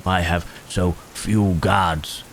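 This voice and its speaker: A man voicing Frankenstein's monster as gentle, brooding and protective, speaking in halting, formal, old-fashioned phrases for an ASMR roleplay. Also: deep voice, fairly even intonation, background noise, exaggerated voice. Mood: brooding, puzzled. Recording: rain and thunder throughout.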